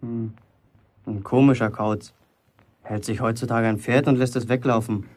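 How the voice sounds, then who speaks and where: A man's voice: medium pitch, flat delivery, normal speed, darkish tone, close to an everyday voice. A young man playing a proud, wary teenage apprentice in a German radio drama, terse and dry. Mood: dry, sceptical.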